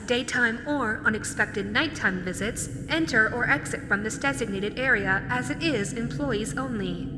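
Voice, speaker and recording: A polite, officious robotic guide, voiced by a woman for an audio roleplay. The pitch is on the high side and the delivery is formal and instructive. It rings in a long reverb with clear noise behind it. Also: close to an everyday voice. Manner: formal, instructive, matter-of-fact. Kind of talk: scripted announcement in character audio roleplay.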